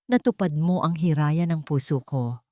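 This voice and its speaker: A woman voicing a loving, devoted husband and father in an audio drama, speaking tenderly and softly. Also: deep voice, a little slow, no room sound.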